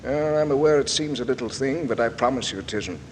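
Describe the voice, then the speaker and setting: A man acting a courteous, persistent coroner questioning witnesses in old-time radio drama style, formal and deliberately polite. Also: mid-range voice, lightly acted. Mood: probing. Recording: old radio, hissy echoing hall.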